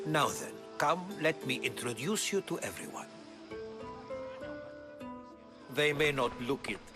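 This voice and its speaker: An actor playing a genial, learned older Greek man, wry and eloquent, in a video game cutscene. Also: on the high side. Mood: wry, reassuring.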